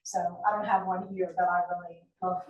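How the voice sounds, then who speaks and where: Flat-voiced woman artist, echoey. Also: fairly low pitch, brisk, far from the mic, muffled recording. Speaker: local artist. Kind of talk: self-introduction. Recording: echoey room from afar.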